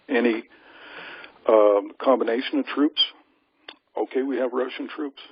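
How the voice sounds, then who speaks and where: A man's voice: fairly low pitch, breathy edge, no echo. A man giving an informal, emphatic and assured briefing to a group over a conference call, often posing rhetorical questions. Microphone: telephone conference call. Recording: lo-fi conference phone line.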